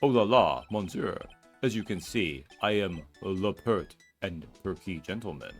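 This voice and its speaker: A flamboyant male French chef with a thick put-on French accent, voiced by a man, speaking in a low voice and dropping French words theatrically. Faint music plays behind him in this fan-voiced game scene. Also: animated, a little slow.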